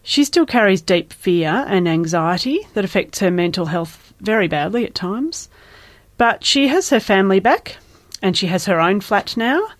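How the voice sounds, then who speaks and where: A woman reading a personal testimony in a calm, earnest way. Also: mid-range voice, no echo. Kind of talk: scripted first-person testimony.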